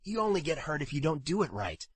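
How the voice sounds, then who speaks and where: A man's voice: a little high, big pitch swings, fast, breathy edge, no room sound, pitched above the performer's own voice. A man voicing a cheeky, loyal young stable lad in audiobook dialogue, with casual, colloquial talk. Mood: reassuring, teasing.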